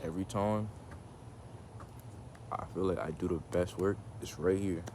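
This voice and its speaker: Male vlogger, deep voice, casual and reflective, phone mic outdoors, slight background noise. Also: normal speed. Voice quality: can go monotone. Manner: rambling, reflective, many restarts and filler. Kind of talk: casual talk to camera. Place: outdoors in the sun. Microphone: phone camera.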